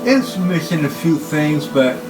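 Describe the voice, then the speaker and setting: Slow-talking man over music. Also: a little high, very animated, low-quality recording. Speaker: hobbyist presenter showing his tape machines. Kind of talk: informal equipment talk.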